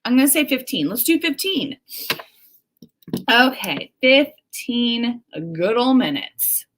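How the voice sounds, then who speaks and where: A woman's voice: a little high, very animated, slow, fairly bright tone, slight background noise. A woman hosting a casual livestream, chatting informally with viewers, reading out their comments and exclaiming as she acts out remarks. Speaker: livestream host.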